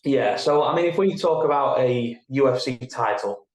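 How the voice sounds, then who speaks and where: A man's voice: medium pitch, animated, moderate pace. Man explaining sport in an echoey room. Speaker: podcast co-host. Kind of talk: casual sports podcast discussion. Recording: roomy, echoey sound.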